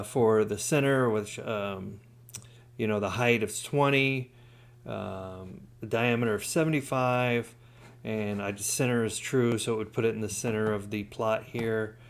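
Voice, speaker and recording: A man hosting a hands-on DIY tutorial, talking informally and explaining things step by step. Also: mid-range voice. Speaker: DIY hobbyist video host. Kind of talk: casual hands-on tutorial talk.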